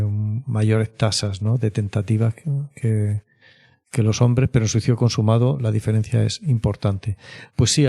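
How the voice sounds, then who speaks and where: A man's voice: fairly low pitch, creaky, warm tone. A man explaining as an expert guest in an interview, thinking aloud hesitantly and checking with question tags. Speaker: mental health expert guest.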